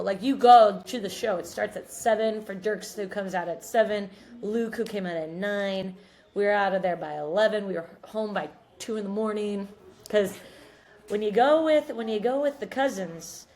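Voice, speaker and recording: A woman's voice: medium pitch, darkish tone. An American woman in her thirties from Missouri co-hosting a podcast, chatting casually and telling stories in an informal, emphatic way. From Missouri, United States. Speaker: podcast co-host. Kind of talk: casual catch-up chat.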